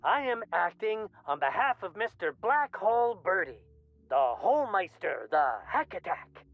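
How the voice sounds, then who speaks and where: An actress playing a jittery, folksy company mascot in a comic video game, flustered and theatrical, with a muffled sound. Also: female voice, mid-range voice, very animated, measured pace, dry recording. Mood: nervous bargaining.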